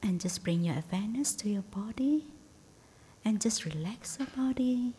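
A woman meditation teacher guiding a session in a hushed, breathy, near-whispered voice, in short phrases with gentle pauses. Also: animated. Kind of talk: guided meditation. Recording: faint rumbly background noise.

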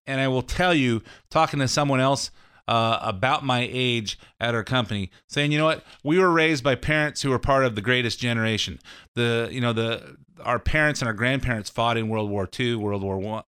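Bright-voiced middle-aged American man, clear. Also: medium pitch. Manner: rambling, repeats phrases, acts out imagined quotes. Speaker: political commentator and show host. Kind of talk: casual opinionated political commentary.

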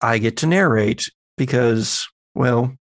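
A man's voice: mid-range voice, takes it slowly, dry recording, clean studio sound. A man acting as game master, narrating and refereeing a tabletop horror roleplaying game in a conversational way.

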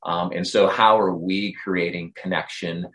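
A man's voice: low voice, fairly even intonation, a little slow, a bit bright, poor audio. A man co-hosting a faith podcast, reflective and hesitant, with many ums and uhs. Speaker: podcast co-host, coach.

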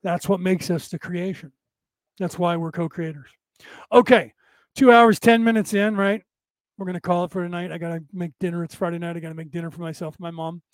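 Raspy, hoarse male host with a high voice, rambling, emphatic, talking straight to his audience. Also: steady tone, a little fast, no room sound. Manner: rambling, emphatic, addresses listeners directly. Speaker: show host. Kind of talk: late-night spiritual talk show monologue.

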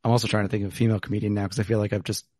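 A man's voice: fairly low pitch, flat delivery, very fast, darkish tone. A man hosting a podcast, chatting casually over whiskey in a rambling way with plenty of fillers. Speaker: podcast host. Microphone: remote call.

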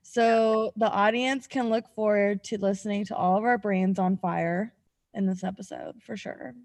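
A woman co-hosting a podcast, chatting casually in animated, slangy banter. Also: mid-range voice, normal speed. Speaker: podcast co-host. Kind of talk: casual chat.

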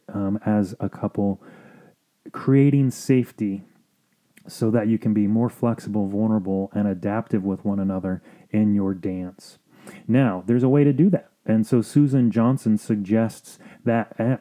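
A man's voice: fairly low pitch, dark tone. A man speaking as a counselor hosting a podcast, explaining things informally in an earnest, reflective way. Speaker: marriage counselor and podcast host.

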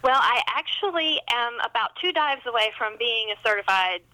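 Female interview guest, author type. Very bright, forward voice, chatty and conversational, self-deprecating. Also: on the high side, animated, moderate pace, poor audio.

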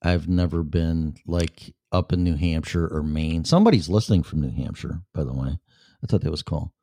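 A man's voice: extremely low pitch, moderate pace, creaky, dark tone. A man with a Chicago accent hosting a casual chat show, rambling and blunt, with plenty of swearing. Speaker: show host. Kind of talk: casual livestream chat with viewers.

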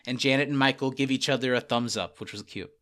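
A man's voice: mid-range voice, fairly even intonation, quick pace, a bit bright. Young guy podcast host rambling through a tv recap, mid voice, does little quote bits. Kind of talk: casual TV recap chat.

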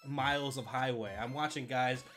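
A man with a bright, forward voice co-hosts a casual film countdown. He is chatty and swears freely. His pitch is ordinary, and light music plays underneath. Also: steady tone, moderate pace. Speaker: podcast co-host.

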